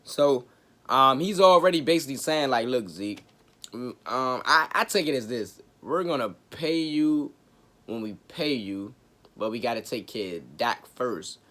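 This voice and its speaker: A man hosting his own sports talk show, raspy from a cold, chatting casually with slang and jokes. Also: medium pitch, expressive, a little slow, fairly bright tone. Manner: laid-back, joking, chatty with slang. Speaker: sports podcast host.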